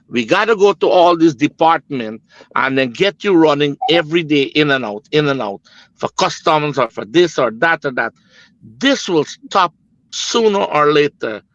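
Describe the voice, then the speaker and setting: A man's voice: fairly high pitch, expressive, a little slow, fairly bright tone, no room sound, dull audio. A middle-aged man with a Guyanese accent giving his political opinion as a panel guest, earnest and repetitive, with emphatic phrasing. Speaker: business executive, panel guest.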